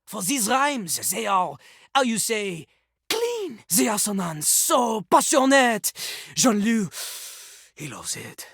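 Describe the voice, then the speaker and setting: A man's voice: high voice, wildly swooping pitch, slow, vocal fry, a bit bright, a little hiss or hum, exaggerated voice. A man voicing a pompous French toilet who fancies himself a master rapper in a video game, haughty and dramatic with a French accent. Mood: delighted. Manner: haughty, dramatic, speaks of himself in third person. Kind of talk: exaggerated video game character voice acting.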